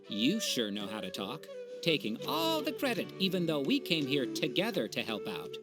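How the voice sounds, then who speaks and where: Swaggering female mercenary: lowish, playful, very animated intonation, light music behind. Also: moderate pace. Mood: teasing. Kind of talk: video game cutscene acting.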